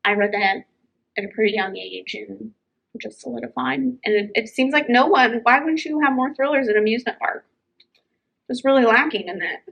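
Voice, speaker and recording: A woman's voice: fairly high pitch, normal speed, creaky, fairly bright tone, slightly rough, lo-fi sound. A woman hosting a book chat, talking informally and enthusiastically as she gives recommendations. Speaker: book show host.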